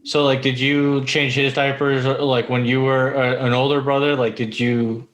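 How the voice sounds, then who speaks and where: Young male podcast co-host, average pitch, crude teasing, conversational. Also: level tone, moderate pace, rough recording. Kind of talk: casual banter, asking questions.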